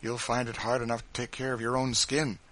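A man's voice: mid-range voice, little pitch movement, fairly quick, fairly bright tone, pitched above the performer's own voice, lightly acted. A man voicing a proud, bitter enslaved woman in an audiobook, cold, scornful and defiant.

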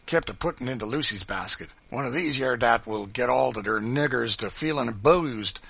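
A man's voice: medium pitch, moderate pace, gravelly, fairly bright tone, slightly rough, no echo, pitched above the performer's own voice. A man voicing a brutal, spiteful plantation driver in an audiobook, guttural, with heavy dialect speech and barked threats. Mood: sullen, scheming.

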